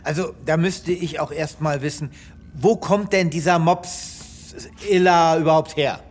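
An actor playing a flippant, self-assured veterinarian with a casual, dismissive manner in a comic sci-fi radio play. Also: male voice, fairly high pitch, steady tone, unhurried, slight background noise, close to an everyday voice. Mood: puzzled. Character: flippant, self-assured male veterinarian who knows dogs.

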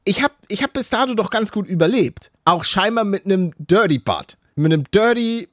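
Lebhafter Mann mit hoher Stimme im Podcast. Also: male voice, high-pitched, lively intonation, normal speed, a bit bright, dry recording, studio quality. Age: 40s. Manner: laughing, hesitant restarts, joking. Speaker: podcast co-host. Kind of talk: casual podcast banter.

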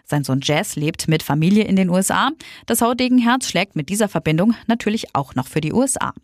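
A woman's voice: medium pitch, animated, brisk, no echo, studio quality. A woman reading tabloid news in an upbeat, scripted delivery. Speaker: newsreader.

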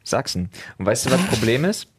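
A man's voice: medium pitch, big pitch swings, brisk, breathy edge. A man in a casual podcast chat, blunt and crude, swearing a lot.

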